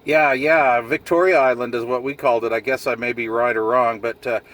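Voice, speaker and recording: A man's voice: medium pitch, fairly even intonation, fairly quick, a bit bright. A man chatting casually in CB radio slang with a folksy, jokey manner. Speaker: CB radio operator filming a vlog. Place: outdoors in a vehicle on a hillside. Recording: distant mic with background noise.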